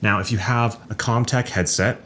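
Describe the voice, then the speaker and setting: A man's voice: on the low side, steady tone, normal speed, a bit bright, slight background noise. A man who works as a sound recordist, narrating a scripted product review.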